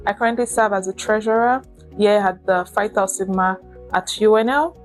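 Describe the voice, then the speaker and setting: A woman's voice: mid-range voice, fairly even intonation, moderate pace, soft background music. Female PhD student from Nigeria, middle-register voice, formal self-introduction.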